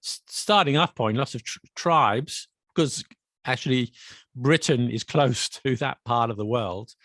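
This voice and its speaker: A man hosting a casual language podcast, chatty and quick with asides and restarts. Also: medium pitch, a bit bright, no room sound. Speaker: podcast host and English teacher. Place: Paris.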